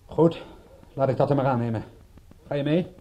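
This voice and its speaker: An actor playing an insistent, brusque private detective in a radio drama, speaking in a terse, pressing way. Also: male voice, medium pitch, normal speed, mellow, close to an everyday voice. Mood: brusque warning.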